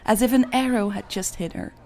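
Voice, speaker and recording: Woman storyteller with a gravelly creak. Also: on the high side, brisk, a little mellow, a little hiss or hum. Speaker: podcast host and narrator. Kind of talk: audio fiction storytelling, dramatized dialogue.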